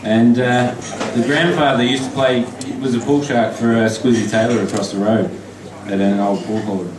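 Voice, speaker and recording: An Australian man, a poet, reading his poems and flash fiction aloud at a live event, chatty and halting between pieces, slow and deliberate when reading. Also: medium pitch, steady tone, a crowd in the background. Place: pub function room. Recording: echoey pub from far off with noise.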